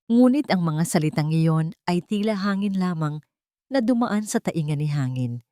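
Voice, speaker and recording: A woman's voice: lowish voice, darkish tone, no echo, clean studio sound. A woman reading a scripted dramatic story as its storyteller.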